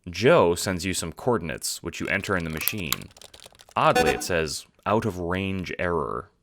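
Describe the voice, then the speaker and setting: A man's voice: sits low, expressive, normal speed, background noise. A male narrator reads a scripted story in the second person. It is audio drama narration, told directly to the listener.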